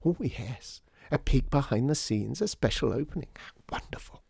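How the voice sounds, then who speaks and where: A man voicing a dithery, genteel old lady in a storybook, breathy, effusive and wistful. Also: medium pitch, lively intonation, normal speed, a little mellow, dry recording, studio quality, voice pushed up. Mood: delighted, polite. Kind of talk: storybook character voice.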